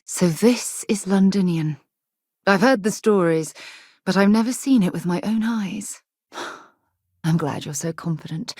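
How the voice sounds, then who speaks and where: A woman playing an earnest, inquisitive, principled space explorer in a video game, with a husky voice and articulate, formal phrasing. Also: on the low side, darkish tone, no room sound, studio quality. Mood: subdued, concerned. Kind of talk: scripted video game dialogue.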